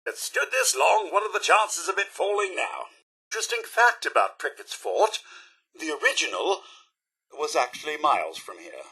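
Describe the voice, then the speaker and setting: A man's voice: on the high side, animated, moderate pace, pushed and bright, slightly rough, full character voice, poor audio. A man with a British accent voicing a prim, fussy robot butler in a video game, polite and sardonic, with theatrical, formal delivery. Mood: polite, chipper. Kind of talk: video game character voice lines.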